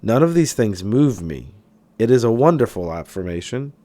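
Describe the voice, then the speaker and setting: A man reading a self-help book aloud as an audiobook narrator, with expressive, emphatic delivery. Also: mid-range voice, normal speed. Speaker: audiobook reader.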